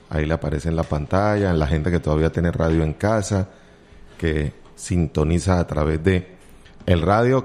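Deep-voiced chatty Colombian radio host. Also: male voice, dark tone. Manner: joking, chatty, teasing asides. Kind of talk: casual morning radio chat. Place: radio studio.

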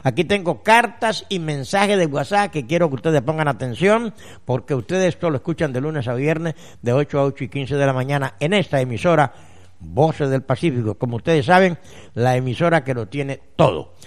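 A man with a somewhat high voice presents a radio advice show. He speaks straight to his listeners as a natural healer, emphatic and deliberate, pausing between phrases. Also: expressive. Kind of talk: radio advice show, reading listeners' letters and answering them.

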